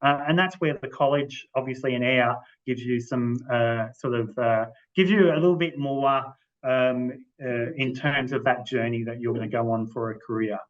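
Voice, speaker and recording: A man giving an informal explanatory presentation as a library manager, speaking haltingly and often rephrasing as he goes, over a video call. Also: mid-range voice. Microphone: video-call microphone. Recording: muffled video-call audio.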